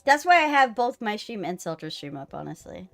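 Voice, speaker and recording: A woman's voice: mid-range voice, big pitch swings, a little fast, vocal fry. A woman talking casually and teasingly as she hosts a gaming live stream. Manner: playful, teasing. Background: music.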